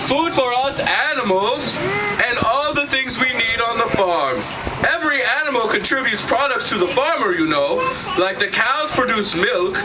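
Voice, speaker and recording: A man voicing a patient, wise horse who explains things in an amateur puppet show. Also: very high-pitched. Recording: distant mic, noisy outdoor farm.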